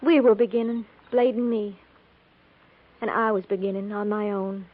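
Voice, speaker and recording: Actress as shaken pioneer schoolteacher widow, plainspoken, mid-pitched, vintage radio drama, thin lo-fi recording. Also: female voice, steady tone, moderate pace, natural voice. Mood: wistful. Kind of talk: 1950s radio western acting. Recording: tinny, noisy 1950s radio recording.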